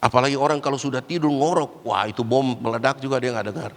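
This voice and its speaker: A man preaching a Pentecostal sermon while fighting a cold and cough, swinging between loud, raised exhortation and low, quiet asides. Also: steady tone, quick pace. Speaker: guest preacher. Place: large church hall. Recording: church hall with long reverb.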